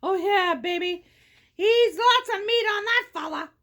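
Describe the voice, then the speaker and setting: A woman's voice: very high voice, a little slow, fairly bright tone. A woman voicing a frightened middle-sized billy goat in a storybook, whimpering and wheedling to save himself. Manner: whimpering, pleading.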